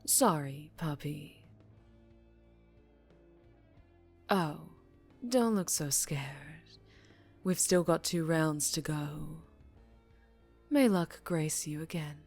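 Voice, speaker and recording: A woman playing a dangerously charming female mafia boss in an ASMR roleplay, teasing and menacing, with deliberate purring delivery that drops toward a whisper. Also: deep voice, gravelly, a little mellow, faint music behind, full character voice. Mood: taunting, teasing. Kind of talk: ASMR roleplay audio drama, addressing the listener.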